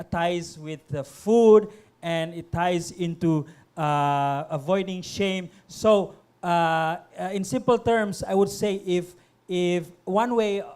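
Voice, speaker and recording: A middle-aged man from Indonesia, a guest on a panel talk, speaking haltingly with many fillers and restarts. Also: high voice, darkish tone. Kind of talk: panel conversation.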